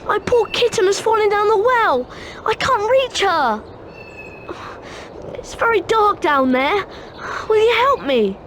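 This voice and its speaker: A woman's voice: very high voice, lively intonation, slow pace, a bit bright, a little hiss or hum, heavily acted. A woman playing a worried young schoolgirl at a wizard school in a video game, earnest and pleading. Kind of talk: scripted video game dialogue.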